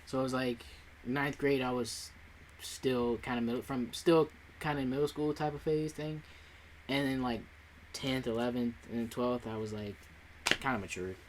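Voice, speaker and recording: A man's voice: medium pitch, fairly even intonation, moderate pace. Young man, podcast co-host, hesitant, lots of fillers, casual chat, faint hiss. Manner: hesitant, heavy filler words.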